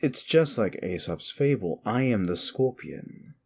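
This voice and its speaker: An ancient, wicked demon, acted by a man, speaks straight to the listener in an intimate ASMR roleplay. The voice is very low and full of gravelly fry. Each phrase comes slowly and deliberately, brooding and theatrical, with contempt and a trace of inner conflict. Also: expressive, darkish tone, full character voice. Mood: cold, contemptuous. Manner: theatrical, brooding, slow and deliberate with dramatic pauses. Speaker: audio roleplay performer.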